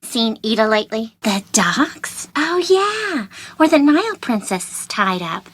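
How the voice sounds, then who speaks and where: A woman's voice: fairly high pitch, sing-song, measured pace, a bit bright, breathy edge, no echo, full character voice. A woman voicing a curious young detective in an adventure game, wry and inquisitive.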